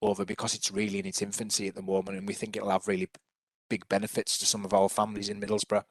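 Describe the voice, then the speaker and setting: Man, public health officer, low flat delivery, dry sound, hesitant council presentation. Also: very fast, dry recording. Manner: hesitant, many restarts and fillers.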